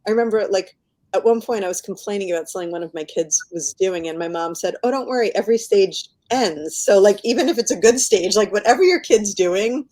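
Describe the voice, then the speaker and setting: A woman's voice: medium pitch, expressive, fairly quick, dark tone. A middle-aged woman chatting casually as a podcast guest, emphatic and encouraging, often laughing as she talks. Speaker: guest novelist.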